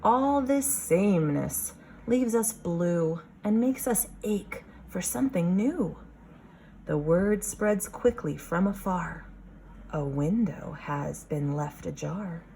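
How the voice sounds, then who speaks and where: A woman with a fairly low voice reads to young children as their teacher. She takes her time and is expressive, stopping to ask questions and explain. There is noticeable background noise in the recording. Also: slow, gravelly. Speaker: kindergarten teacher reading aloud. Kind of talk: children's picture book read-aloud with teacher asides.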